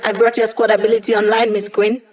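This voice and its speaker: A woman's voice: a little high, flat delivery, fast, darkish tone, natural voice. Cheeky, breezy young hacker hologram voiced by a woman. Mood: wry, helpful. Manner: breezy, wry, playful, casual. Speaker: squad tech support character. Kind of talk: video game voice lines.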